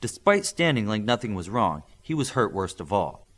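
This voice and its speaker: Animated man narrating an audiobook. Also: fairly low pitch, moderate pace. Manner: animated reading that acts out dialogue. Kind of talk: scripted narration of a fiction story.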